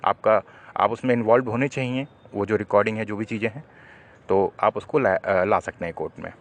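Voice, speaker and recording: A man's voice: lowish voice, fairly even intonation, a little fast. A man presenting a legal explainer, speaking didactically to viewers and posing questions that he then answers.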